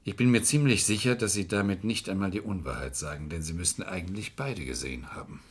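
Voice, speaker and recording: An actor playing a shrewd, calculating but courteous man in a German crime radio play, speaking in a measured, persuasive way. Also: low voice, slightly rough. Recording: vintage radio play recording.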